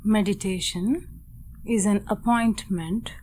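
Slow-paced woman guiding meditation. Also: medium pitch, fairly even intonation, a little mellow, a little hiss or hum. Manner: measured, deliberate, short phrases with long pauses. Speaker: meditation guide. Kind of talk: guided meditation narration.

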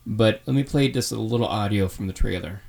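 A man's voice: on the low side, fairly quick, vocal fry, noisy background. A man in his thirties co-hosting a podcast, chatting casually and rambling with fillers. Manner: rambling, with many fillers and restarts. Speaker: podcast co-host.

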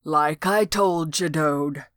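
A woman voicing a gruff, work-shy county lawman with a folksy, exasperated drawl. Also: fairly high pitch, slow, a bit bright, no echo, studio quality. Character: gruff, lazy small-town county lawman.

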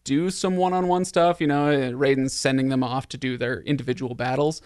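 A man's voice: medium pitch, steady tone, fairly quick, darkish tone, clear, resonant tone, no room sound, studio quality. A man hosting a casual, conversational podcast discussion about films. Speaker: podcast host. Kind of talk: casual film chat.

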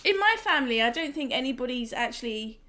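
A woman's voice: high-pitched, animated, fast, pushed and bright. A woman with an English accent, chatting casually as a podcast guest, with lots of fillers and restarts. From England. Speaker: guest photographer.

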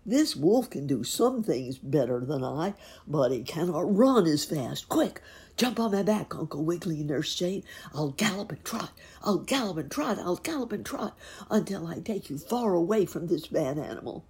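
A brave, kind storybook horse, acted by a woman, speaks up earnestly for his friends. His voice is raspy and lively, with drawn-out, emphatic words and a creaky edge. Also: sits low, exaggerated voice. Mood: urgent, brave. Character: kind, brave male horse, grateful and protective. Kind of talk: children's storybook character voice.

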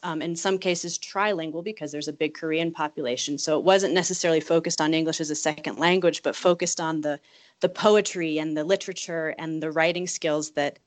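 A woman in her late thirties with a notably low voice gives interview answers. She speaks thoughtfully, often restarting and repeating words as she works out her phrasing. She is from Virginia. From Virginia, United States. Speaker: guest, government attaché.

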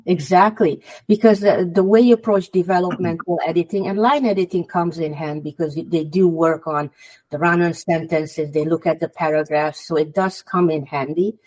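Hungarian-accented woman with a lowish voice. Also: steady tone, moderate pace, darkish tone, rough recording. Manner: earnest, advice-giving. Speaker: guest author. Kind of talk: podcast interview.

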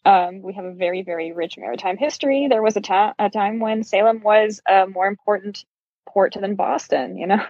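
A woman's voice: medium pitch, moderate pace, a little mellow, dry recording, muffled recording. A middle-aged woman from Brazil being interviewed, telling personal stories in a candid, self-deprecating, conversational way. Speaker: interview guest, yarn shop owner.

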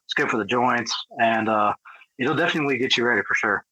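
Fast-talking laid-back man in his thirties. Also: medium pitch, pushed and bright, breathy edge, no echo, poor audio. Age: 30s. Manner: laid-back, self-deprecating, says "man" and "bro". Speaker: podcast guest, grappling athlete. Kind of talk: casual podcast chat.

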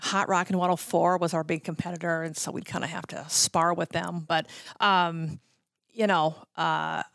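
A middle-aged American woman reminiscing casually as a podcast guest, telling stories in an animated way and often interrupting herself. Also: fairly low pitch, normal speed, creaky, no echo, clean studio sound. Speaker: podcast guest, former radio promotions worker.

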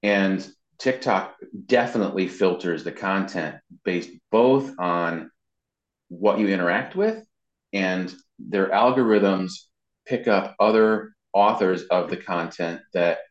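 A man's voice: sits low, slow, echoey room, lo-fi sound. A man giving casual, explanatory answers as an interview guest, stressing his points by repeating them. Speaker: interview guest, web marketing consultant and voice actor.

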